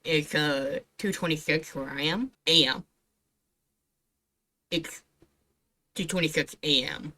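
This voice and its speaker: Bright-voiced, giggly woman reacting casually. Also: deep voice, slow pace, long pauses. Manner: giggly, sarcastic, blunt swearing. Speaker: streamer reacting to a video.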